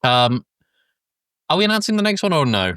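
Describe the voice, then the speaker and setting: A man's voice: fairly high pitch, very animated, normal speed, a bit bright, smooth, dry recording, studio quality. A man hosting a casual film discussion podcast in a loose, rambling way, a bit under the weather with a congested throat. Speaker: podcast host.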